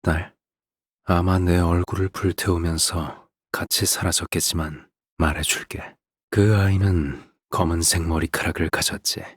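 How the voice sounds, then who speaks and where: A very low, husky father figure from a video game. He speaks softly and deliberately, as if reading a storybook to his child. Beneath the gentle coaxing sits a controlling, quietly menacing temper. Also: fairly even intonation. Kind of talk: scripted game voice acting.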